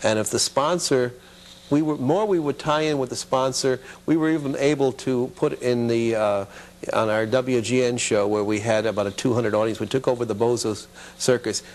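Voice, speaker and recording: A middle-aged man, a guest in a casual interview, reminisces haltingly and pauses as he searches for details. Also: mid-range voice, steady tone, darkish tone. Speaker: talk show guest; advertising executive, former children's TV producer.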